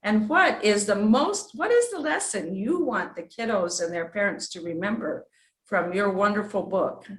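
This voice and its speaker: A woman's voice: medium pitch, expressive, normal speed. A woman hosting a children's storytime, speaking in an encouraging, effusive way to guests and young viewers. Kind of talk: host interview and sign-off to children. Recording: echoey room.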